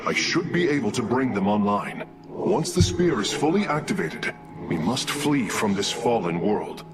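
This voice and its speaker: A man's voice: medium pitch, animated, moderate pace, background noise, lightly acted. A man playing a solemn, noble alien warrior in a science-fiction game, speaking formally and theatrically. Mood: earnest. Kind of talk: dramatic video game cinematic acting.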